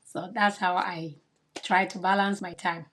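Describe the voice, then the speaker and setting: A woman's voice: fairly low pitch, flat delivery, unhurried, fairly bright tone. A woman from Cameroon, a kindergarten teacher, answering interview questions in an earnest, explanatory way.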